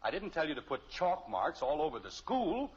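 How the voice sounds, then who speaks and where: A man's voice: fairly high pitch, huge pitch swings, moderate pace. A man playing an exasperated, easily flustered middle-aged suburban father in 1950s radio comedy style, sputtering and sarcastic. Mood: exasperated disbelief. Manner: sputtering, sarcastic, long-suffering. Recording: muffled old radio recording, faint hiss.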